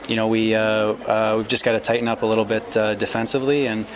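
A man's voice: fairly low pitch, little pitch movement, noisy background. A man in his thirties, a hockey player, giving a hesitant post-game interview into a handheld mic in a corridor. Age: 30s. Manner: hesitant, slow to form sentences. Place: small indoor arena corridor.

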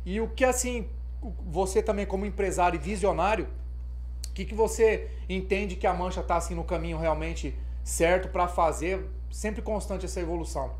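Podcast host in his thirties, high voice, long rambling questions, desk mic in a green-screen studio. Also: moderate pace, darkish tone. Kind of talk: casual interview questions.

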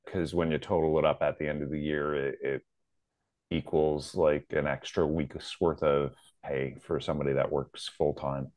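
Middle-aged Canadian man, extremely deep voice, close dry sound, casual explainer on a video call. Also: darkish tone, no room sound. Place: living room at home. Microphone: earphones on a video call.

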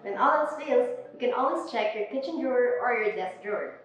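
A young woman giving clear step-by-step instructions on wine bottles, in an instructional demo recorded indoors. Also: fairly high pitch, expressive, fairly quick. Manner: clear, plain step-by-step explaining. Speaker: wine presenter. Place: indoor room with a table. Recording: very echoey room.

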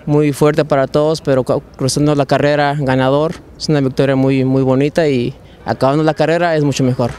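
A young adult man, a jockey, answering interview questions outdoors at a racetrack in a halting way with repeated words, on a handheld mic. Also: medium pitch, level tone, a little mellow, clean tone. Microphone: handheld mic held by interviewer.

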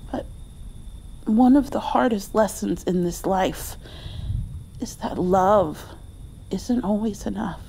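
A gentle, loving mother acted by a woman soothes her heartbroken child in an ASMR roleplay. Her delivery is very unhurried and soft, with a mellow, dark tone, over audible background ambience. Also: mid-range voice, gravelly, a little hiss or hum, rough recording. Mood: gentle, sorrowful. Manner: tender, soothing, sometimes dropping toward a whisper. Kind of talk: ASMR comfort roleplay, slow and hushed with pauses.

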